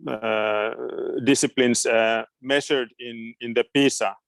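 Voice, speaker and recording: A man's voice: medium pitch, fairly even intonation, slow, a bit bright, dry recording. A middle-aged man from Finland, a native Finnish speaker, answering questions informally and hesitantly as he searches for words. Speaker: guest education expert.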